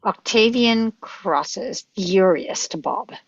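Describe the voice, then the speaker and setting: A woman's voice: mid-range voice, slow pace, slightly rough, dry recording. A woman reading stage directions as scripted narration at a table read, on a slightly dull microphone.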